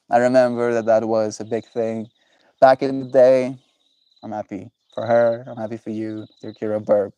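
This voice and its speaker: Mellow-voiced laid-back male streamer. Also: medium pitch, no echo. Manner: laid-back, encouraging, says "bro" a lot. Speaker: livestreamer. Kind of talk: casual livestream chat with viewers.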